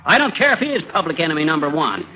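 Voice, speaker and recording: Cocky slangy husband, high lively voice, blustery, muffled 1930s radio comedy. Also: male voice, racing, husky, exaggerated voice. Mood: brash, blustering. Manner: slangy, brash, stammering bluster.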